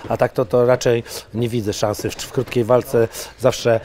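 A middle-aged man speaking at an ordinary pitch into a handheld mic in an indoor press area. He talks loosely, with many hesitations. Now and then he breaks into loud, high-pitched bursts. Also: normal speed, a little hiss or hum. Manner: excitable, bursts into loud high sponsor shout-outs. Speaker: MMA coach. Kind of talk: casual interview with sponsor plugs. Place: indoor press area in front of sponsor banner wall. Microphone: handheld mic held by interviewer.

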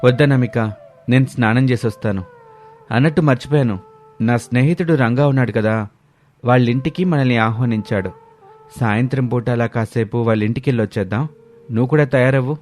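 A man's voice: medium pitch, fairly quick, pauses often, faint music behind, lightly acted. A man playing a caring, placating young Telugu husband and dutiful son. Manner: gentle, placating.

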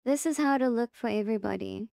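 A woman presenting a casual, step-by-step software tutorial in a plain-spoken, instructional way. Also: fairly high pitch, fast talker, vocal fry, no room sound, clean studio sound.

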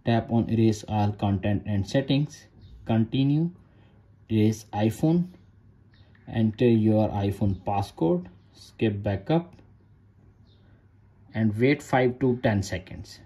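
A man's voice: on the low side, animated, slow, long pauses, dark tone, muffled recording. A man narrating a phone tutorial in short, repeated step-by-step instructions in non-native English. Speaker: tech tutorial host.

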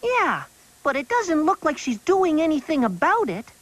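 A woman's voice: sits high, very animated, moderate pace. A woman voicing a small male cricket in a TV cartoon who is cheerful and very proud of his singing. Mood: chatty. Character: boastful little male cricket singer. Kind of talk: TV cartoon acting.

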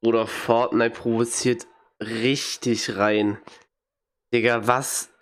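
A man's voice: medium pitch, little pitch movement, takes it slowly. A young man with a cold, giving casual, blunt, slangy commentary on a video game as a streamer, talking into a headset in a small room at home. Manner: blunt, slangy, mocking reactions. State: has a cold, occasional coughing. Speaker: gaming streamer.

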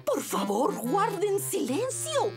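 A woman's voice: very high-pitched, huge pitch swings, takes it slowly, heavily acted. A woman voicing a stern, grumpy, exasperated school principal in a Spanish-dubbed children's cartoon. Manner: strict, exasperated. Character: stern, grumpy female rabbit school principal, never smiling. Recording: music behind the voice.

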